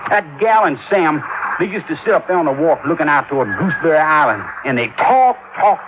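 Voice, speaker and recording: A chatty, homespun boatman played by a male actor in a vintage radio drama. His voice sits fairly high and swings widely in pitch. He drawls with rustic pride, heard through a muffled, noisy old recording. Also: animated, fairly quick, lightly acted. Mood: open, talkative. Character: folksy, talkative waterfront boatman, proud, homespun.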